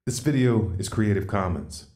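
Deep male outro announcer voice, scripted, loud music behind. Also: lively intonation, moderate pace.

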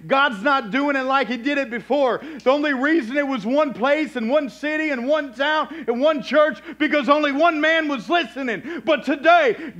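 A man's voice: very high-pitched, fairly bright tone. A man preaching a fervent charismatic revival sermon in a declamatory, exhorting manner with repetitive phrasing, in a dull, muffled recording.

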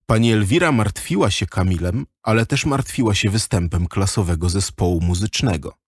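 A man's voice: low-pitched, no room sound, studio quality. A man narrating a children's audio drama in a scripted storybook style.

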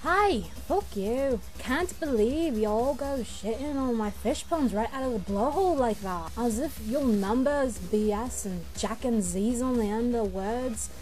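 A woman's voice: on the high side, a little slow, few pauses, darkish tone, close to an everyday voice, low-quality recording. A woman voicing a swaggering, sassy young troll empress with boastful slangy talk in an amateur cartoon fandub. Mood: indignant, sassy. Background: music.

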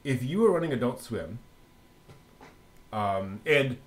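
A middle-aged man co-hosting a show in casual chat, halting as he thinks aloud. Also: medium pitch, expressive. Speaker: co-host of an anime news show. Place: indoors on a video call. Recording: faint background noise.

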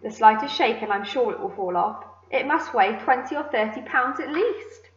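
Woman acting bossy greedy aunt, high-pitched shouting, children's storybook, echoey dull recording. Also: expressive, moderate pace, natural voice. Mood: bossy alarm. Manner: shouting, exclamatory. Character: bossy, snappish aunt, shrieking with greedy excitement. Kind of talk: children's storybook read-aloud. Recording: echoey room, slightly dull sound.

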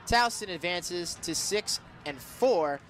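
High-pitched man calling football play-by-play. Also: slow, a little hiss or hum. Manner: excitable, shouts and stretches words on big plays. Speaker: radio play-by-play announcer. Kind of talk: live football play-by-play commentary. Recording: live radio sports broadcast.

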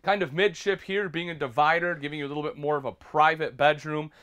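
A man's voice: a little high, fairly even intonation, fast, fairly bright tone. A man in his thirties, an RV product specialist, giving an upbeat walkthrough tour inside a small travel trailer and calling viewers folks.

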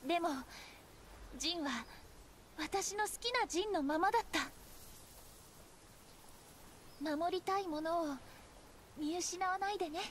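A woman voicing a sweet, earnest, cheerful young girl fighter in a Japanese game cutscene, soft and girlish. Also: very high-pitched, moderate pace, long pauses, slight background noise. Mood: tender relief.

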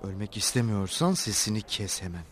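A man's voice: on the low side, lively intonation, a little fast, a little hiss or hum, natural voice. A man voicing a cunning, cold older brother in a cartoon, glib and calculating as he talks. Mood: threatening. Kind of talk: cartoon dubbing.

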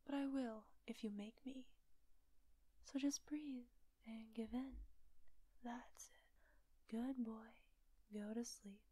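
A woman playing a teasing, manipulative villain kidnapper in roleplay audio, softly half-whispering in a coaxing, unhurried way. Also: fairly high pitch, fairly even intonation, no echo, low-quality recording. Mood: smug, coaxing. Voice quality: soft, breathy, often whispery. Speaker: voice actor.

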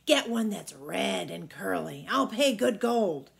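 A woman voicing a gruff old male giant from a storybook, vain, boisterous and commanding. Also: medium pitch, lively intonation, takes it slowly, heavily acted. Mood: gruff, eager.

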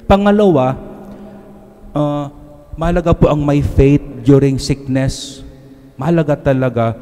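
Filipino priest preaching in a reverberant church. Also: male voice, a little high, normal speed, dark tone, smooth. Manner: switches between Tagalog and English, conversational preaching. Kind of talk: homily. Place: church sanctuary, at a lectern. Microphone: lectern microphone.

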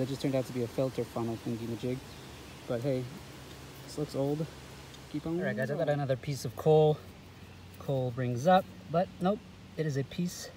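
A man's voice: medium pitch, dark tone. A man giving informal handheld vlog commentary on his metal detecting, talking to his viewers as guys, recorded on a phone outdoors. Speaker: metal detecting video host. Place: mostly outdoors in grass and along a river. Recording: clear background noise, outdoor ambience.

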